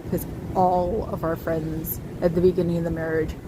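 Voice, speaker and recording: A woman with a high voice chatting casually to her viewers, wry and quick to laugh. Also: flat delivery, background noise. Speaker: livestream host. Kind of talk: casual chat reacting to viewer comments.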